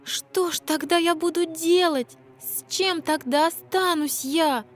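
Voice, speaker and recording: Man voicing a very high-pitched young mermaid princess. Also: a little slow, fairly bright tone, soft background music. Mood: anxious. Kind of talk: verse fairy-tale audio play.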